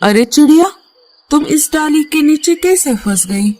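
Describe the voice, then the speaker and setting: A woman's voice: sits high, animated, unhurried, a little mellow. A woman playing a kind, caring old grandmother who explains things in a children's cartoon. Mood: grateful, concerned. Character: kind old grandmother living by a forest river.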